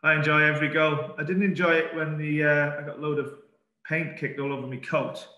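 A middle-aged man, a football manager, answering press questions calmly over a video call, with many fillers and restarts. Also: a little high, fairly quick, highs cut off. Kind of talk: post-match press conference. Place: indoor press room in front of a sponsor board. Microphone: webcam on a video call. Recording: echoey room.

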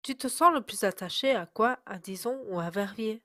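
A woman reading a scripted voice-over and putting interview questions in a clear, measured way. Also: medium pitch, pushed and bright, no echo. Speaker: narrator and interviewer.

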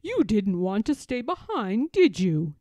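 An excitable little goblin from a children's storybook, played by a woman. He exclaims with emphasis and very lively swings of pitch. The tone stays mellow. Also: a little slow, no room sound, clean studio sound. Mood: relieved, fond. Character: excitable little male goblin, relieved and affectionate.

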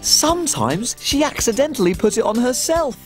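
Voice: medium pitch, moderate pace, soft background music, lightly acted. Someone voicing a bouncy little helper cook. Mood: storytelling. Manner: bouncy, excitable. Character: tiny cheerful helper cook. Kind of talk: children's show acting.

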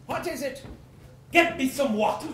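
A woman's voice: high voice, normal speed, fairly bright tone, slight background noise. A woman playing a blustering, angry male landowner who rants in exclamatory, self-pitying outbursts, acting out a stage play. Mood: furious. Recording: roomy echo, from a distance.

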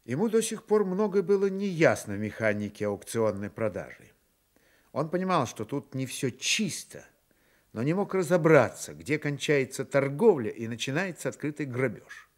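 A man's voice: medium pitch, very animated, moderate pace. A man narrating a literary radio drama in a storytelling style.

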